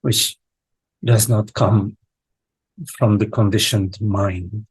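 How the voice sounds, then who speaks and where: A man with a lowish, husky voice guides a meditation. He speaks in short, halting phrases and leaves long pauses between them. The sound is dry and close. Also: steady tone, no room sound. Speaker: meditation teacher leading a live online session. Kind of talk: guided meditation, slow contemplative speech.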